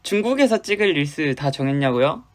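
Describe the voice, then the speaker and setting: A man's voice: a little high, big pitch swings, brisk. A young man from Busan with a light Busan accent, chatting casually with fans on a livestream and answering their comments. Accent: Busan Gyeongsang Korean, light. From Busan, South Korea. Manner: chatty, loose and playful, with sudden drops to quiet murmurs. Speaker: idol hosting a fan livestream.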